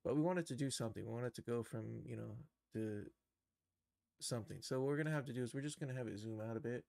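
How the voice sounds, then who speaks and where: Gravelly-edged man giving a casual tutorial. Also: medium pitch, fast talker, darkish tone, no echo, clean studio sound. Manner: tentative, musing, informal. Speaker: streamer teaching animation software. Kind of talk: casual live tutorial, thinking aloud.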